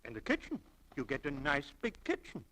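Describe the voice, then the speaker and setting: High-voiced, animated male store clerk. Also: a little fast, heavily acted. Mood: friendly. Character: friendly, nervous, married family-man store clerk. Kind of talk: 1940s radio acting. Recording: faintly noisy old 1940s radio recording.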